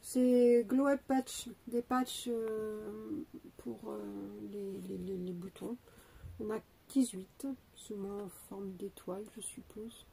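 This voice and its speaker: A woman's voice: medium pitch, steady tone, takes it slowly, creaky, warm tone, husky, poor audio. A young woman with a cold and a stuffy voice, chatting casually as she unboxes beauty products, hesitant and full of fillers and restarts. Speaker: beauty vlogger unboxing an advent calendar.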